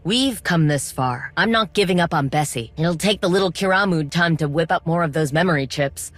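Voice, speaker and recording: An actress playing a determined, wry droid mechanic in a video game, speaking informally with coaxing, teasing encouragement. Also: female voice, on the low side, a little fast, no echo, lightly acted. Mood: earnest, determined.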